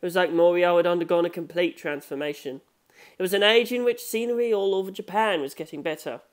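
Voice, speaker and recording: A narrator reading a novel aloud in a measured, scripted storytelling manner. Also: medium pitch.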